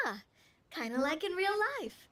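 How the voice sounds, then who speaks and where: A woman's voice: high voice, sharp tone, a little hiss or hum, full character voice. An actress playing a confident, bubbly, friendly young lady in a video game, with a breezy, outgoing manner.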